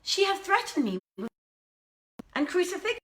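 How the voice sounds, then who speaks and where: A woman's voice: extremely high pitch, very animated, normal speed, fairly bright tone, pitched above the performer's own voice, heavily acted. A woman voicing a lovesick young prince in a storybook reading, with impassioned, archaic declamation. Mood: anguished, defiant. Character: lovesick young prince, tearful, fervent and desperate.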